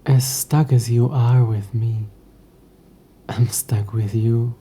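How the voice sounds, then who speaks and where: An ancient demon, acted by a man, speaks very slowly in a deep-shadowed, husky murmur. He is possessive, sardonic and teasing, with formal, wry phrasing, as in an intimate audio roleplay. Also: steady tone, warm tone. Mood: resigned.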